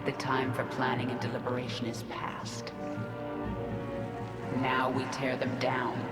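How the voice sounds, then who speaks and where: A woman's voice: rumbling low, completely flat delivery, a little slow. A woman playing a ruthless, vengeful queen of an alien swarm in a video game, giving imperious, clipped orders. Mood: vengeful, fierce. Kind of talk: video game cinematic acting. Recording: echoing, loud music underneath.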